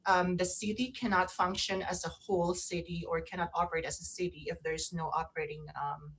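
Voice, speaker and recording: A woman co-hosting a casual radio chat, speaking haltingly and often repeating and rephrasing herself. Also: on the low side, level tone, a bit bright, slight background noise, poor audio. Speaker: radio show co-host. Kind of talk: casual talk show chat.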